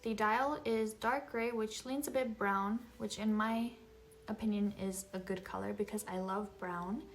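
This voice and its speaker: A woman's voice: medium pitch, fairly even intonation, unhurried, slight background noise. A woman from Japan whose first language is Japanese, chatting casually to camera in a vlog. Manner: chatty, conversational, frequent filler words. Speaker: vlogger. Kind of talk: casual unboxing chat.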